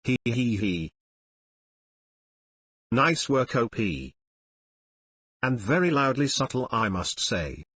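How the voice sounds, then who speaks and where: A man's voice: medium pitch, sing-song, slow, long pauses, a bit bright, husky, no room sound. A man narrating forum stories and comments from a script, in the role of a reader. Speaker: narrator reading online forum posts and comments.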